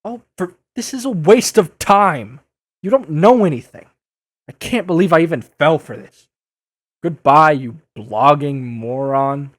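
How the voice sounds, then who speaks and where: Man playing a high-voiced, wisecracking young superhero. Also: unhurried, no echo. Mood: exasperated. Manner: sarcastic, flustered, indignant. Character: wisecracking, exasperated young male masked superhero. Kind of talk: audio drama dub acting.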